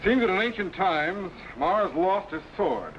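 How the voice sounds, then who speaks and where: An actor playing a confident, commanding time-travelling captain in a brisk, businesslike manner. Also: male voice, sits high, sing-song, fairly bright tone, muffled recording, heavily acted. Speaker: lead character. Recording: noisy old radio recording.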